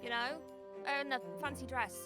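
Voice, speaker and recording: A woman voicing a cheeky young street girl, slow and lively with game music behind. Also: sits high, lightly acted. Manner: lively, slow, laughing through lines.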